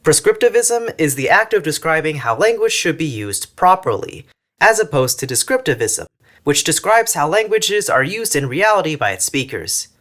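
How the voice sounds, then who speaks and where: A man's voice: on the high side, big pitch swings, moderate pace, a bit bright. A man from northern Texas with a mostly General American accent and slight Texan traces, narrating a scripted video essay as a language YouTuber in a wry, mildly sarcastic, emphatic way. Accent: mostly General American with slight Texan features.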